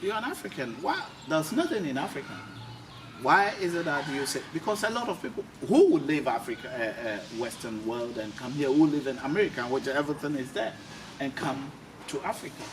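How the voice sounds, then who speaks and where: A man's voice: a little high, sing-song, brisk. A man with a Ghanaian accent hosting an interview, asking emphatic, repetitive questions. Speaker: host, interviewer. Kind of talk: on-camera interview for an online talk show. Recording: clearly noisy ambient background.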